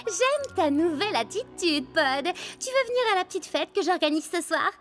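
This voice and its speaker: A woman's voice: very high voice, very animated, normal speed, fairly bright tone, soft background music, full character voice. A woman voicing a vain, sugary young microbe girl in a French cartoon comedy, theatrical and self-satisfied. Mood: smug, flattered. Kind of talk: French animated comedy dub.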